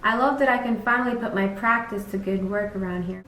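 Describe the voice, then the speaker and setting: A woman's voice: medium pitch, fairly quick, pushed and bright, natural voice. Young woman as self-assured fairy-tale helper, roomy sound. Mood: self-satisfied. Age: young adult. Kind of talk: student fairy-tale skit. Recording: roomy, distant mic with faint hiss.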